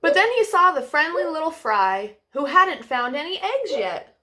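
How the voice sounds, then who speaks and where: A woman hosting children's storytime, expressive and affectionate, speaking simply as she would to young listeners. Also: sits high, normal speed. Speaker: storytime host reading to children. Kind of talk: animated children's storybook reading and friendly address.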